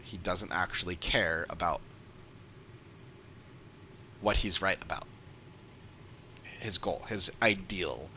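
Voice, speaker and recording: A man's voice: low-pitched, slow pace, pauses often, pushed and bright, a little hiss or hum. A man giving casual, off-the-cuff livestream commentary as a game streamer, emphatic and repeating points for stress.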